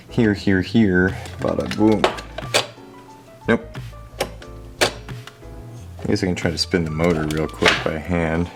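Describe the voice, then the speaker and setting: A man narrating a hands-on repair vlog casually from his garage, with a jokey, sarcastic manner and a habit of talking to objects. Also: sits low. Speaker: host of a hobby repair video. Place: home garage.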